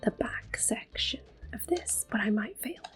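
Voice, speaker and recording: A woman whispering and speaking softly as she plays through a video game, gentle and a little hesitant, pausing with ums. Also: a little high, animated. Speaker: ASMR gameplay creator. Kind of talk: soft-spoken ASMR gameplay commentary. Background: faint game music and controller clicks.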